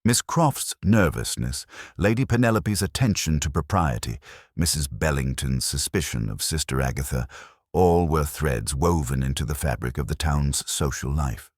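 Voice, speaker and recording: A man with an extremely low voice reads a mystery story as narrator. His delivery is measured and formal, with lively swings in pitch. The sound is close and dry. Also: no room sound. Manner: measured, literary, formal phrasing. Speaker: audiobook narrator.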